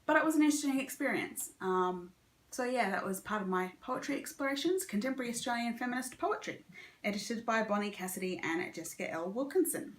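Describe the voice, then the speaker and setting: A woman's voice: fairly high pitch, animated, a little fast, echoey room. A woman chatting casually and informally as she reviews books, giving her opinions freely. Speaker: book reviewer and vlogger. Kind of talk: casual monthly reading wrap-up chat.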